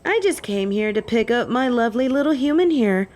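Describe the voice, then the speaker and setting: A woman's voice: expressive, brisk, a little mellow, natural voice. A woman voicing a haughty, jealous female vampire in a roleplay audio drama, snippy, indignant and easily flustered. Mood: possessive, haughty. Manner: snippy, indignant, higher-pitched.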